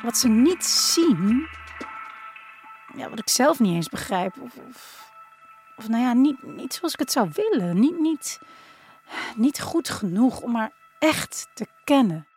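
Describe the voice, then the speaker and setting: A woman's voice: fairly high pitch, sing-song, slow pace, a little mellow. A woman narrating an audio drama in the first person, reflective and intimate in her storytelling. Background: music.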